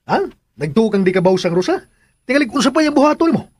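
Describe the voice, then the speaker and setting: Radio drama buddy, higher voice for a man, level-headed, scolding with questions. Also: very animated, fast talker, a little mellow, breathy edge, full character voice. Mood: chiding. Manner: questioning, admonishing.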